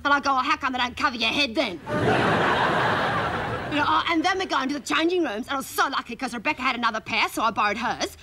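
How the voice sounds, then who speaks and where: A woman's voice: high voice, fast. A woman comedian playing a gossipy, indignant, cheeky Australian schoolgirl, telling rambling slangy stories in a stand-up monologue. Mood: indignant, confiding. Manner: breathless rambling slangy storytelling. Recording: live stage, loud audience laughter and noise. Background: loud laughing crowd.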